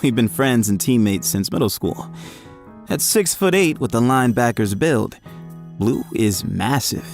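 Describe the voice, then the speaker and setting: An actor as a young college football player tells his story in an audio drama. His voice moves lively up and down at an average pitch, with faint music underneath. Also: unhurried, vocal fry. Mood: narrating. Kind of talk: audio drama first-person narration.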